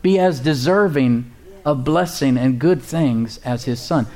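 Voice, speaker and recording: A man preaches to his congregation in a loose, conversational style. He repeats himself for emphasis and lets out an easy chuckle. His voice sits at a middling pitch. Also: unhurried, darkish tone. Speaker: pastor. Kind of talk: sermon.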